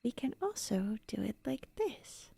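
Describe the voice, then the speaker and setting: Casting: eager young female elf scholar, acted by a woman for ASMR roleplay, high but mellow, soft-spoken, slipping into whispers. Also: sing-song, measured pace, vocal fry, slight background noise, full character voice. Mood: hushed fascination. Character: eager, curious young female elf researcher studying a human.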